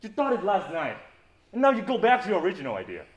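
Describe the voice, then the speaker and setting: An actor playing a cool, mocking, self-assured adventurer captain in a stage play, with a sardonic, taunting manner. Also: male voice, sits high, wildly swooping pitch, fast. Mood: angry. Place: stage performance.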